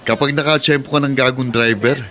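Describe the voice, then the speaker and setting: A man's voice: mid-range voice, fairly even intonation, a little fast, fairly bright tone, lightly acted. A man playing a brash, bawdy buddy in a radio drama, trading breezy, crude banter. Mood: breezy, joking. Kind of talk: Filipino radio drama acting. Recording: crowd bustle behind.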